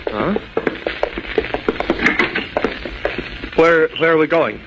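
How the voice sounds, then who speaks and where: An actor playing a cowardly, evasive little doctor in 1940s radio serial style, stammering and protesting in a flustered way. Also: male voice, on the high side, normal speed, natural voice. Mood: cowed, evasive. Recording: dull vintage radio recording, faint noise.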